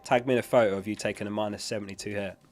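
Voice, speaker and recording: A man's voice: on the low side, fairly even intonation, very fast, soft background music. A man chatting casually as a livestream host, reading out and answering viewer questions in a matter-of-fact way, his voice starting to give out from being unwell. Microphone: close dynamic studio microphone.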